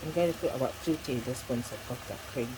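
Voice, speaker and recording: A woman's voice: a bass voice, fast talker, noisy background. A middle-aged woman hosting a home cooking demonstration, giving chatty step-by-step instructions with frequent asides. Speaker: cooking channel host. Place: home kitchen.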